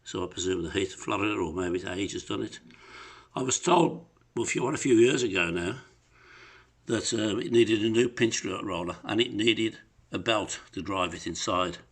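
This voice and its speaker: Low, gravelly man rambling casually. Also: normal speed, a bit bright. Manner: rambling, hesitant, apologetic. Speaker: private seller showing an item for sale. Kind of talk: casual unscripted product demonstration. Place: living room of a home apartment, indoors. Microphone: handheld phone held by the speaker behind the camera.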